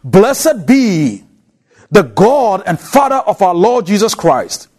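Male preacher, African accent, high lively delivery, slow and emphatic with repeated key words. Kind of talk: expository sermon.

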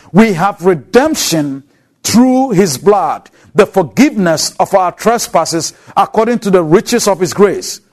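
A man's voice: high-pitched, a little mellow. A man with an African accent preaching an expository sermon, emphatic and repeating key words for weight.

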